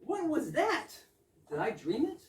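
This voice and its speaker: Animated, earnest young suitor voiced by a woman. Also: sits high, a little slow, a little hiss or hum, exaggerated voice. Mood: rhapsodic. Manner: earnest, romantic, rhapsodic. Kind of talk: amateur readers theater of a 1920s comic play. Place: indoor readers theater stage, distant microphone. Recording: echoey stage recording from far off.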